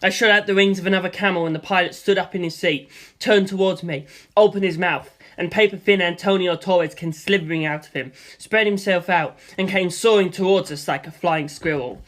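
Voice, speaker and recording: A narrator reading a first-person novel aloud in an expressive storytelling style, acting out the action with gusto. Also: mid-range voice, a little fast. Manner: acts out sound effects with gusto.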